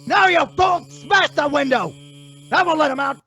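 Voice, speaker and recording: High, edgy western crook boss played by a man. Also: moderate pace, sharp tone, faint music behind. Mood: alarmed, angry. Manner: curt, commanding. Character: bossy, jittery male leader of western crooks. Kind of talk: old-time western radio drama acting.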